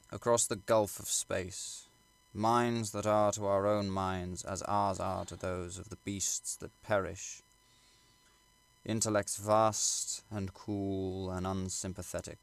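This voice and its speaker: A man reading literary prose aloud as an audiobook narrator, in a measured, formal and dramatic way. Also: sits low, gravelly. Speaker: audiobook reader.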